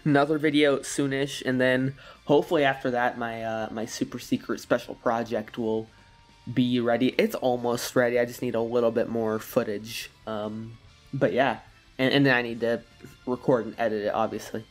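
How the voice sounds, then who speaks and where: A slightly hoarse man giving relaxed, rambling gameplay commentary, full of fillers and soft chuckles. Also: medium pitch, normal speed, soft background music. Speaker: gaming commentator.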